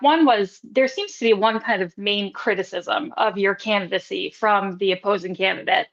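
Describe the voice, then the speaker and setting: A woman hosting a local politics podcast, interviewing a candidate in a measured way, hedging with fillers. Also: mid-range voice, a bit bright, muffled recording. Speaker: podcast host.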